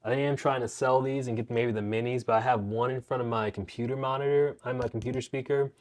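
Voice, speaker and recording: A man's voice: medium pitch, fairly even intonation, fast. A young man giving a casual, rambling rundown of his music gear at home, speaking into a wireless clip-on mic. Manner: laid-back, rambling, slangy. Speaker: guitar teacher and channel host. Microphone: wireless clip-on mic into phone.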